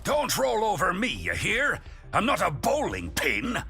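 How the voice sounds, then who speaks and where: Creaky, swooping, grumpy engineer. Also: male voice, sits high, wildly swooping pitch, measured pace, sharp tone, soft background music, exaggerated voice. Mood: indignant. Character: grumpy, touchy turret-building engineer. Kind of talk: video game voice lines.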